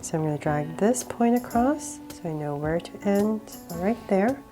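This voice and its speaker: A woman with a cold guiding viewers step by step through a drawing, relaxed and laughing a little at her own slips. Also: mid-range voice, normal speed, music in the background. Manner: relaxed, with small laughs and self-corrections. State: sick, voice a bit off. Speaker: drawing tutorial host.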